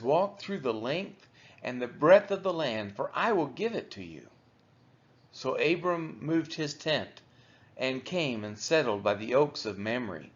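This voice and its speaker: Male scripture reader: measured, solemn delivery with animated pitch movement, slightly dull recorded sound. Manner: measured, deliberate phrasing. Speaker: Bible reader for a church daily read-along. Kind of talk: solemn scripture reading aloud.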